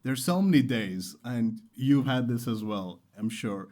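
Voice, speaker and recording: A man's voice: medium pitch, lively intonation, measured pace, darkish tone. A young man from Qatar telling his story as an interview guest, earnest and enthusiastic, repeating phrases for emphasis. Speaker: interview guest, startup founder.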